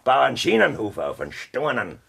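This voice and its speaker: A cold, threatening Bavarian farmer. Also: male voice, mid-range voice, wildly swooping pitch, fairly quick, creaky, fairly bright tone, husky, heavily acted. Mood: menacing. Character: cruel scheming farmer husband, cold and threatening. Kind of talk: 1970s radio play acting.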